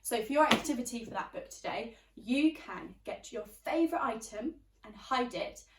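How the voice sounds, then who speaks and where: A woman reading a children's picture book aloud expressively, pausing to ask her young listeners questions. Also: on the high side, expressive, far from the mic, very noisy. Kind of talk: animated children's story reading. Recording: roomy, echoey, away from the mic.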